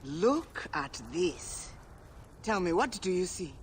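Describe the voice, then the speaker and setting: A man's voice: high voice, big pitch swings, slow, slight background noise, full character voice. An actor playing a shrewd, bookish and roguish relic dealer in a video game, theatrical and savoring his words. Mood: intrigued. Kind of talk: video game cutscene acting.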